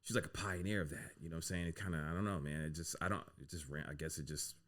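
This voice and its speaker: Male podcast guest, deep register, easygoing anecdotal delivery. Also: racing. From United States. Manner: relaxed, filler-heavy, says "you know what I'm saying" often. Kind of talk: casual storytelling interview.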